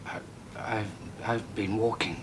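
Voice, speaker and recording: Wrecked young son stumbling over his words, lowish voice. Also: level tone, background noise. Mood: troubled. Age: young adult. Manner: halting, hesitant, earnest. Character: haunted, exhausted young son. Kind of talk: radio drama acting.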